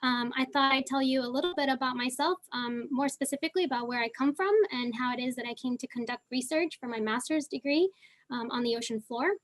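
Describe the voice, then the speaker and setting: A woman's voice: sits high, a little fast. A young woman from Argentina with a Southern California accent, telling her story as a panelist in a casual way, with many fillers. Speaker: panelist, geochemist. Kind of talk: online panel talk.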